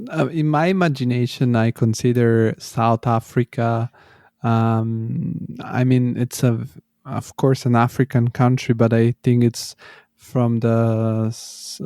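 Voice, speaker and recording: Brief: male podcast host, hesitant, dark and dry-sounding. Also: medium pitch, no room sound. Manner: hesitant, many fillers and restarts. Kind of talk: interview questions.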